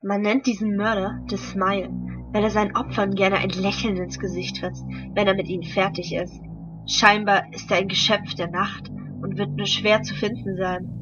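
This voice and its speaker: An actress playing a crime boss's curious young daughter in a German audio drama, casual and inquisitive. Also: female voice, mid-range voice, little pitch movement, moderate pace, music in the background, natural voice. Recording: dull, noisy home microphone sound.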